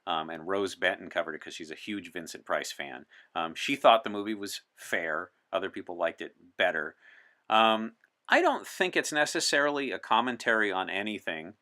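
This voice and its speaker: A man's voice: sits low, normal speed, sharp tone. A man speaking as an interview guest, articulate, emphatic and opinionated, chatting in character as a visitor from the sixties. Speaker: podcast guest. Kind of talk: in-character interview as a time traveler from 1964.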